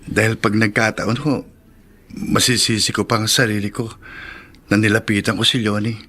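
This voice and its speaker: A man's voice: lowish voice, little pitch movement, normal speed, a bit bright. An actor with a Filipino accent playing a polite, worried young man in a radio drama. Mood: worried, respectful. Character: polite, worried, apologetic young male former suitor.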